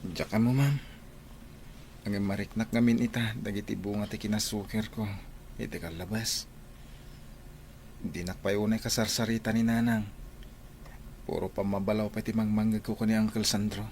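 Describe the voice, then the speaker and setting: Radio drama male actor for a regretful young student, low-pitched and even, Ilocano accent, clearly noisy background. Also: moderate pace, pauses often, close to an everyday voice. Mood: downcast, grateful. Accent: Filipino, Ilocano. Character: remorseful, downcast young male student seeking a fresh start.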